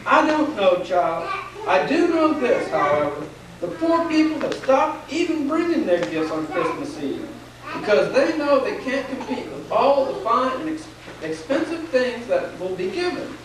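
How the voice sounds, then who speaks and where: A performer playing a kindly, devout old grandfather in a puppet show, telling things earnestly and gently. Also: medium pitch, big pitch swings, a little slow. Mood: gentle, reflective. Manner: earnest storytelling, gently reassuring. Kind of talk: stage puppet show dialogue. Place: church, recorded from afar. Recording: very echoey, distant, noisy 1990s church recording.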